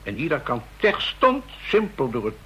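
A man's voice: medium pitch, wildly swooping pitch, moderate pace, fairly bright tone, husky, full character voice, rough recording. An actor playing an insistent male counterfeiting expert explaining his case to officers in a radio drama.

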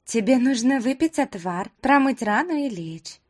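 A woman's voice: fairly high pitch, lively intonation, normal speed, voice pushed up. A woman voicing a tender, insistent young village woman with an obsessive love, in an audiobook story.

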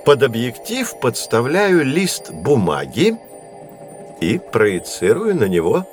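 An actor playing a learned, patient astronomy professor who explains things in a measured, didactic way in an educational audio drama. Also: male voice, mid-range voice, very animated, soft background music. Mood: patient explaining. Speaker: teacher.

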